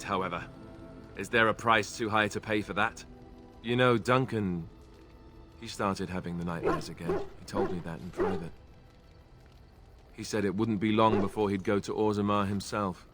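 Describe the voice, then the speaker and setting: Young male game warrior, played by a man, earnest and wry, lowish voice, chatty delivery, music underneath. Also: fairly bright tone, lightly acted. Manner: wry, conversational, self-deprecating. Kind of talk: video game dialogue acting. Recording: music behind the voice.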